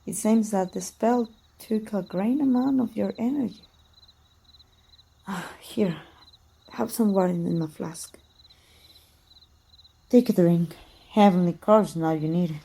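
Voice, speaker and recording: A woman voicing a proud, teasing, wounded immortal warrior in an ASMR roleplay, with soft, breathy, archaic formal speech. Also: mid-range voice, measured pace, pauses often. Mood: gentle, concerned.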